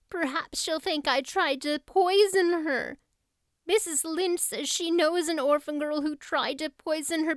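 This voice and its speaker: A woman's voice: sits high, fairly bright tone, no echo, studio quality, voice pushed up. A woman voicing a melodramatic, anguished, talkative young girl in a storybook, with a wailing, overwrought manner.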